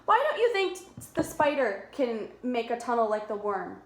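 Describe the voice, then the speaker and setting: A woman's voice: fairly high pitch, very animated, moderate pace. A woman reading a picture book aloud to young children like a preschool teacher, explaining in simple words and asking them questions. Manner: simple explanatory phrasing, prompting listeners with questions. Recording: echoey room.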